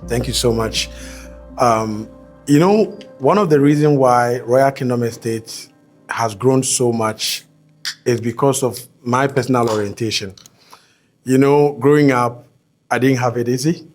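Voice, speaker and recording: A man from Ghana, the head of a company, tells the story of his upbringing in a mid-pitched, earnest voice. Soft music plays faintly behind him. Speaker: company CEO. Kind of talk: panel answers.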